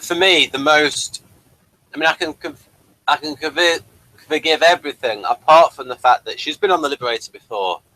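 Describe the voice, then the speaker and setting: A man's voice: on the high side, normal speed, pushed and bright, poor audio. A man chatting casually as a co-host in a review discussion.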